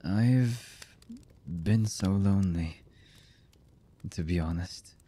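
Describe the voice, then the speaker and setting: A man voicing a brooding, lovesick young anime villain in an intimate ASMR roleplay, husky and breathy with halting, hesitant delivery that often sinks toward a whisper. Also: deep voice, mellow, heavily acted. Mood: lonely, vulnerable.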